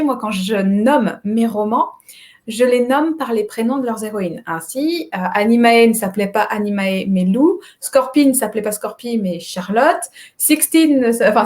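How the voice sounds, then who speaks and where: A woman hosts a casual live stream as an author. She talks chattily and hesitantly, with lots of fillers. Her voice is on the high side. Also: a little mellow. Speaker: author host.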